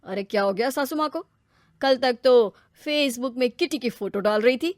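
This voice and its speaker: A woman plays an easygoing young husband in a cartoon tale. He teases as he talks, in a fairly high voice with a dry, close sound. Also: normal speed, no room sound, close to an everyday voice. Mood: teasing. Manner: teasing. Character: young husband, teasing, easygoing new father. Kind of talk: cartoon story character acting.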